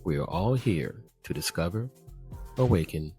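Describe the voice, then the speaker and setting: A man's voice: deep voice, animated, normal speed, mellow, soft background music. A middle-aged American man hosting a podcast interview, upbeat and effusive in a casual conversational way. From United States. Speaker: podcast host.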